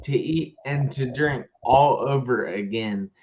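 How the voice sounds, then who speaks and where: A man's voice: mid-range voice, slow pace, a little mellow, dull audio. A man hosting a live online talk show, talking in an upbeat, enthusiastic way. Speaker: host.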